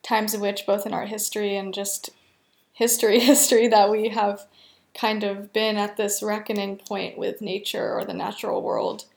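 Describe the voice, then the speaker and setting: A woman's voice: medium pitch, level tone, normal speed, vocal fry, reverberant. A woman interviewer asking long, thoughtful, hesitant questions.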